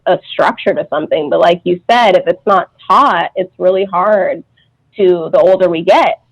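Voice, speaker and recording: A woman's voice: on the low side, normal speed, creaky. A woman as a guest on a podcast, chatting casually in a reflective way. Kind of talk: casual chat. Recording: dull, slightly lo-fi audio.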